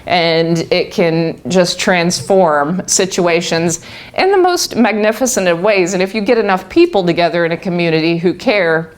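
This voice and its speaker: A middle-aged woman telling a group her own story, laughing often and restarting her sentences. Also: fairly low pitch. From Texas. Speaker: guest speaker. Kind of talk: personal talk to a live group.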